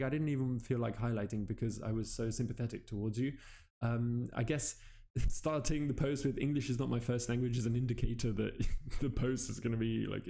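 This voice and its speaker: A man's voice: medium pitch, creaky, mellow. A man hosting a casual stream, reading online posts aloud and commenting on them in a wry, offhand, teasing way.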